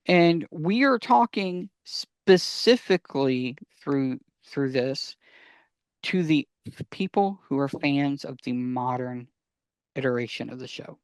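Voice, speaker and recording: A man's voice: medium pitch, expressive, takes it slowly, smooth, no room sound. A man co-hosting a casual fan discussion, speaking conversationally and addressing listeners directly. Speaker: podcast co-host.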